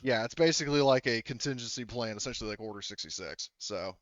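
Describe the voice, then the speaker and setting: A man's voice: mid-range voice, fast talker, no echo, dull audio. A man acting as game master, narrating a tabletop roleplaying game casually and thinking aloud as he goes.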